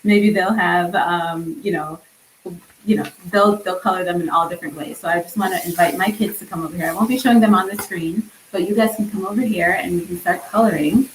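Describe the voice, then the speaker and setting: A woman's voice: lowish voice, a little fast. Woman hosting casually, echoey room. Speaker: event host and interviewer. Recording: roomy echo, faint background noise.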